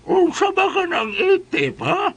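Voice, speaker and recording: A man playing an excitable, henpecked Cebuano father in a comedy skit, exasperated and pleading. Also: extremely high pitch, measured pace, vocal fry, exaggerated voice. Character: henpecked, excitable Cebuano father pacifying his fierce wife. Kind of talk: comedic first-person storytelling and skit acting.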